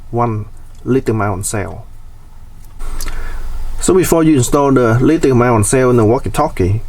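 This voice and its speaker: A man's voice: mid-range voice, darkish tone, far from the mic. A man presenting a casual, hands-on DIY explainer.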